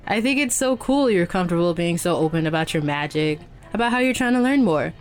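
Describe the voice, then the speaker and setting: An actress playing a friendly, slightly shy young coworker who chats casually in an audio drama. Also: female voice, fairly low pitch, lively intonation, brisk, soft background music, close to an everyday voice. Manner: casual, chatty, hesitant.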